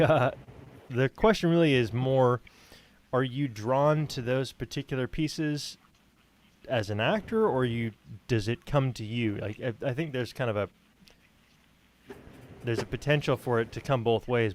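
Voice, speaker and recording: A man hosting a casual podcast, asking questions in a halting, joking, informal way. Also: medium pitch. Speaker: podcast host, writer. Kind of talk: casual chat.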